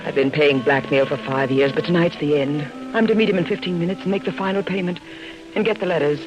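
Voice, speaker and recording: A woman's voice: low-pitched, fast talker, close to an everyday voice, rough recording. Woman acting as secretive lady in distress, anxious, insistent, polished speech, 1940s radio drama, music underneath. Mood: tense, insistent. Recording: old radio recording with music.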